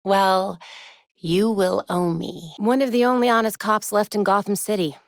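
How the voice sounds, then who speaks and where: A woman's voice: mid-range voice, steady tone, normal speed, no echo, lightly acted. Young american vigilante girl voiced by an actress, quippy and determined, video game lines, really dry recording. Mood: thoughtful. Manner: wry, quippy delivery. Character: young female vigilante heroine, sharp, wry, determined.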